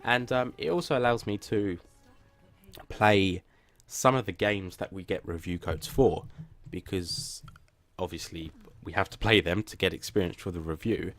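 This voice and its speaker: A man's voice: low-pitched, moderate pace. Plain-voiced man rambling to viewers. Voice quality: flat, plain voice. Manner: rambling and hesitant, many restarts; self-deprecating. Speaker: gaming channel host. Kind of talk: casual channel update to viewers.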